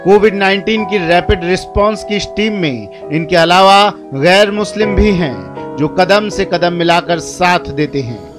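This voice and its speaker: Slow, high-voiced man narrating over loud music. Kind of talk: scripted news feature narration. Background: loud music bed.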